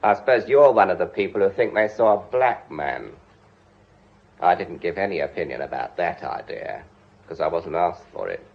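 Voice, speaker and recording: An actor playing a smug, menacing blackmailer in a radio drama, insinuating and mock-polite. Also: male voice, sits low, expressive, moderate pace, creaky. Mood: taunting. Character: sly, smug, menacing male gallery employee turned blackmailer. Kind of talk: British radio drama acting. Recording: dull, muffled radio drama recording.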